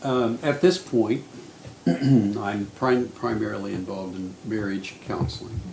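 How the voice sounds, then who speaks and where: Gravelly older man, thoughtful counselor. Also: fairly low pitch, steady tone, darkish tone. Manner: thoughtful, measured, with frequent restarts. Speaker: marriage counselor. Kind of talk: casual panel discussion. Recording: echoey room, faint noise.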